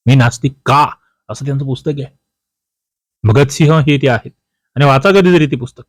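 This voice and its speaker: A man's voice: mid-range voice, no room sound. A man teaching exam history, reading out quiz questions, prompting with questions and repeating the key answers.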